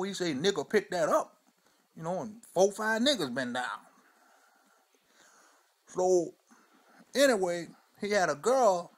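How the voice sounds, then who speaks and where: A man playing an older, crude storyteller who speaks in a loose, profane, rambling drawl and keeps asking "you know" and "see?". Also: sits high. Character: crude old Southern man spinning tall tales. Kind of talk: stand-up character monologue.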